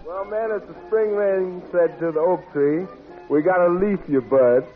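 A man's voice: high-pitched, measured pace, over music. A man hosting a 1940s radio show with playful hipster slang and mock-fancy jive patter. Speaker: substitute radio show host. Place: radio studio. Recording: noisy narrow-band old radio recording.

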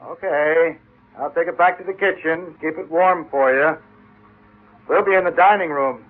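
A man's voice: a little high, normal speed, lightly acted. An actor playing an offhand man of the house in an old radio drama. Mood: casual, offhand. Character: casual, evasive man of the household. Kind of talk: 1940s-50s radio drama acting. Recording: tinny old radio recording.

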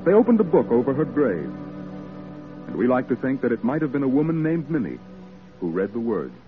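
A man's voice: mid-range voice, wildly swooping pitch, fairly quick. A man narrating a 1940s radio drama in a grave, oratorical manner. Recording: tinny 1940s radio recording, music behind.

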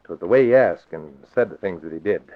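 A crooked lawyer, slick and oily, who turns defensive and blustering when pushed. His pitch swings lively across a lowish voice. The vintage radio recording sounds thin and tinny, like a phone line. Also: fast talker. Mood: scheming. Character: crooked, smooth-talking male lawyer. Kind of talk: 1940s radio acting.

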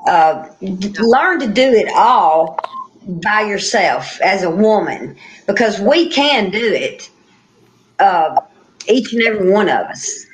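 A woman's voice: medium pitch, sing-song, takes it slowly, a little hiss or hum, low-quality recording. A woman, talking in a casual panel chat as a guest angler, plainspoken, folksy and determined.

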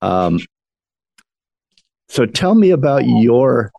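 A man's voice: medium pitch, very animated, very slow, gravelly, mellow, dry recording. A man hosting a radio interview, asking long, rambling, earnest questions.

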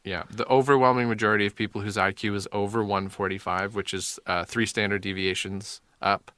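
A man's voice: fairly low pitch, level tone, a little fast, bright, forceful tone, no echo. A man co-hosting a casual comedic chat, dry and sardonic, often breaking into laughs.

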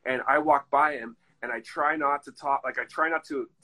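Husky-voiced man telling rambling stories. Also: fairly high pitch, fairly even intonation, normal speed, pushed and bright, poor audio. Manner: rambling, profanity-laced storytelling. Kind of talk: casual chat.

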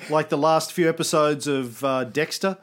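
A man's voice: a little high, moderate pace, a bit bright. A man hosting a history podcast in casual chat, swearing freely and drifting off on tangents before he gets back to the story. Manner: profane, wanders off on tangents. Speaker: podcast host narrating history.

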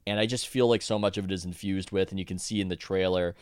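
A man's voice: deep voice, steady tone, fast talker, smooth, no echo, clean studio sound. A man, an author guest on a podcast, talking casually in a rambling way with many restarts and self-corrections.

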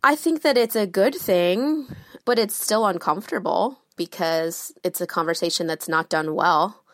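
A woman's voice: lowish voice, moderate pace, fairly bright tone, no echo, clean studio sound. A woman in her thirties answering interview questions openly and thoughtfully. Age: 30s. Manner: open, thoughtful, candid. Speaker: interview guest.

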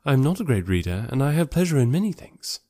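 A man's voice: medium pitch, very animated, quick pace, dark tone, no room sound, pitched above the performer's own voice. A man voicing a spirited, self-possessed young woman in an audiobook, candid and politely firm. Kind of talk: classic novel audiobook character voice.

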